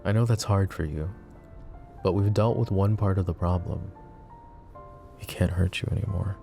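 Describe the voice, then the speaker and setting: Possessive mafia boss, deep and gravelly. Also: male voice, moderate pace, faint music behind. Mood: menacing. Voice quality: low, soft, close-mic murmur with whispers. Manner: calm, controlled, coolly threatening and doting. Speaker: voice actor. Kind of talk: roleplay ASMR audio drama. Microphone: close ASMR microphone.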